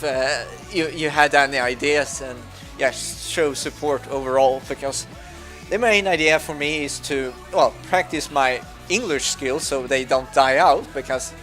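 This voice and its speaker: A man's voice: a little high, fairly bright tone. A young man from Sweden with a Swedish accent, whose first language is Swedish, giving casual commentary over a game, hesitant, with many fillers and restarts. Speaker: gaming YouTuber.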